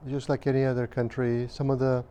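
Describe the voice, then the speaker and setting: A man in his fifties from Romania, recalling his childhood in an interview at a measured, hesitant pace with frequent pauses and fillers. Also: mid-range voice, level tone, warm tone. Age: 50s. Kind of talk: recounting childhood memories.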